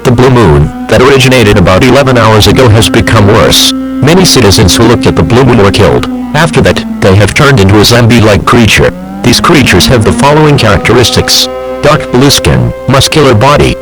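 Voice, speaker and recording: A man's voice: mid-range voice, very animated, brisk, over music. A synthetic male text-to-speech voice reading a scripted emergency broadcast announcement in a formal, impersonal manner. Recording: noisy radio-style emergency broadcast.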